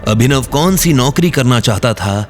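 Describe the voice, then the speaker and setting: A man narrates a scripted audio drama. His voice sits at a medium pitch. Soft music runs faintly behind him. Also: animated, moderate pace.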